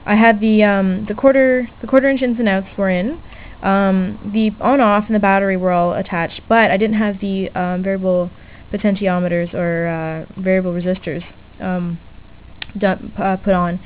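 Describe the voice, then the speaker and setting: A woman's voice: medium pitch, steady tone, moderate pace. A woman giving a casual, unscripted hobby demonstration, chatty and hesitant, often correcting herself as she goes. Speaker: DIY electronics hobbyist presenter. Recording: tinny lo-fi webcam-era audio, background noise.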